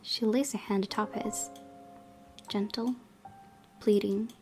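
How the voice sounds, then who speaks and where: A young woman hosts a playthrough, reading visual novel prose aloud in a medium-pitched voice. She slips between narration and casual commentary over faint music. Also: fairly even intonation, slow, a little mellow. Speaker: gaming video host.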